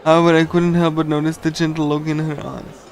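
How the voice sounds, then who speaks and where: A man reading a visual novel aloud on a casual livestream, in a halting, pieced-together way. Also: on the high side, flat delivery, a faint crowd. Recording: music behind the voice. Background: game music.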